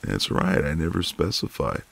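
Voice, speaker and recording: A man playing a flirtatious, easygoing retired marine neighbour in an intimate audio roleplay, with a deep, gravelly voice and a casual drawl. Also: very animated, moderate pace, full character voice. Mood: teasing, flirtatious.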